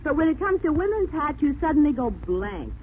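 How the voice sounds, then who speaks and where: An actress with an American accent playing a genteel, spirited young society woman in a 1940s radio mystery, refined and expressive. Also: sits high, brisk. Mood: chatty, teasing. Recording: tinny, noisy 1940s radio recording.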